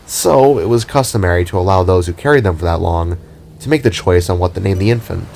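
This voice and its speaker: A man's voice: low voice, brisk, vocal fry, darkish tone, slight background noise. A man voicing an affectionate alien father-to-be in a fantasy audio drama, casual and earnest. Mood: explaining, earnest. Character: affectionate male alien spark, devoted expectant father.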